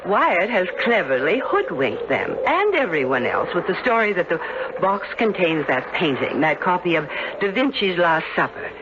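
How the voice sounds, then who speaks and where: A woman's voice: fairly low pitch, very animated, normal speed. An actress playing an anxious, suspicious, insistent married woman in a 1970s radio drama, speaking with dramatic emphasis. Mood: urgent, insistent. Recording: dull, very noisy old radio recording.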